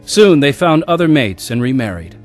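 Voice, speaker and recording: A man's voice: medium pitch, big pitch swings, moderate pace, soft background music, lightly acted. An actor narrating and playing a young man looking back on his wild youth in a radio drama.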